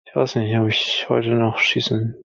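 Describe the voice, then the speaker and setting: Man playing a stoned soldier, slow creaky mumble. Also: mid-range voice, little pitch movement, a bit bright, no room sound, muffled recording. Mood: dazed. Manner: sluggish, mumbling, detached. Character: stoned, blunt, weary young soldier sniper.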